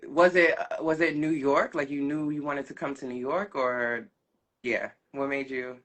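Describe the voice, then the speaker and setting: A woman's voice: rumbling low, steady tone, normal speed. A woman hosting a casual interview, encouraging her guest with frequent affirmations. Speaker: interview host.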